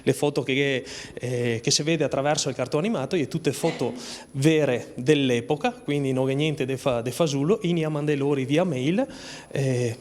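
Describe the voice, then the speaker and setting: A man's voice: medium pitch, moderate pace. A man with a strong Veneto accent giving an informal account in dialect-mixed speech. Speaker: cartoon creator. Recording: reverberant hall.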